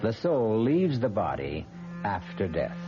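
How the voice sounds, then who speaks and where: A man narrating as host of an old-time radio mystery, in a measured, wry, philosophical manner. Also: on the low side, wildly swooping pitch, highs cut off. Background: prominent music.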